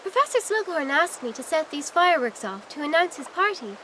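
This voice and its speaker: An innocent, eccentric teenage witch. Her very high voice lilts dreamily and guilelessly in a video game, with faint noise behind. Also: sing-song, brisk, fairly bright tone, low-quality recording. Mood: dreamy. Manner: airy, guileless. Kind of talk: video game cutscene acting.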